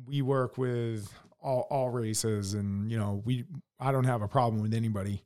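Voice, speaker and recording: A blunt American man holding forth in casual podcast talk, cursing freely and tripping over his own restarts. His voice sits at an ordinary middle pitch. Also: fairly even intonation, normal speed, warm tone. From United States. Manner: blunt, heavy swearing, many restarts. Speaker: podcast co-host. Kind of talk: casual opinion chat.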